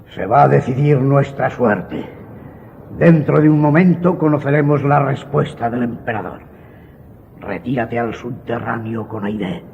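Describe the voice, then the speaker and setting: An actor playing a proud, resolute vizier father facing death, measured and solemn, in a radio drama. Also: medium pitch, creaky, a little mellow. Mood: urgent command. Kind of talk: Spanish radio drama acting. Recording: dull lo-fi audio with faint noise.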